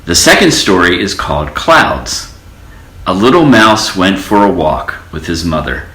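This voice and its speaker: A man reading a children's storybook aloud as an expressive storyteller, in an echoey room. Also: low-pitched, measured pace. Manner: expressive storytelling with character voices. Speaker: storybook reader.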